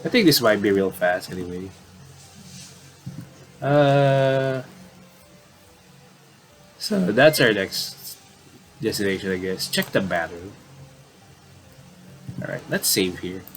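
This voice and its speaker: Male let's player, lowish voice, animated intonation, casual and rambling, reads on-screen text aloud, faint background noise. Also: slow pace, pauses often, poor audio. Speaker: gamer reading a visual novel aloud with commentary. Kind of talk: casual let's play commentary mixed with reading game text aloud.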